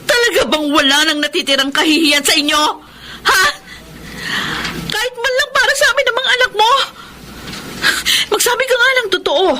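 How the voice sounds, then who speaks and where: Indignant adult daughter, Filipino radio serial: high, piercing, emotionally charged. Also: female voice, moderate pace, long pauses, pushed and bright, a little hiss or hum. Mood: angry, anguished. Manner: emotional, confrontational, heavily dramatic. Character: indignant adult eldest daughter confronting her mother. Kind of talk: Filipino radio drama acting.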